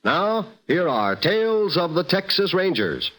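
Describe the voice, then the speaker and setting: Animated 1950s radio announcer man. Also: high voice, measured pace, soft background music. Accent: American. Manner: polished, scripted.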